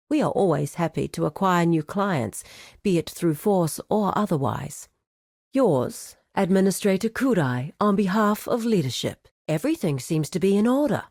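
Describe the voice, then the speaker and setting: An actress playing a composed, businesslike crime cartel administrator in a video game, speaking in a formal, measured, authoritative way. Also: female voice, mid-range voice, darkish tone, no room sound, studio quality, lightly acted. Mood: approving, brisk. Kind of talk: scripted video game character dialogue.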